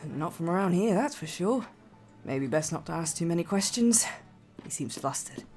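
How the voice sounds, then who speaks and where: Actress as down-to-earth blacksmith woman, sceptical, dry, teasing, fantasy audio drama, expressive pitch. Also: moderate pace, soft background music. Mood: suspicious. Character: sceptical, wry female blacksmith, down-to-earth tavern patron.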